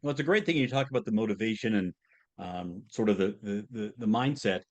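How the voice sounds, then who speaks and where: A man's voice: lowish voice, brisk. A man hosting a podcast interview, asking long, hesitant questions full of fillers and restarts, and laughing easily. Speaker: podcast host.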